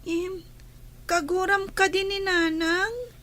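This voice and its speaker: A woman's voice: very high voice, takes it slowly, sharp tone, heavily acted. A woman playing a plaintive young child who misses a parent, in an Ilocano-accented radio drama. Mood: sad, longing. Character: young child missing absent mother, plaintive and questioning.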